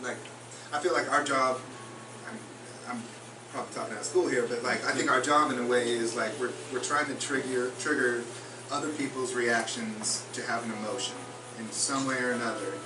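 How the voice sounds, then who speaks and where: Man, songwriter, reflective onstage talk; echoey distant live recording with clear noise. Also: medium pitch, fairly even intonation, a little fast. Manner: thoughtful, searching for words. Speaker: songwriter on a panel. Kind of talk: casual onstage discussion. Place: concert house, live in front of an audience. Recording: roomy live hall, distant, noisy.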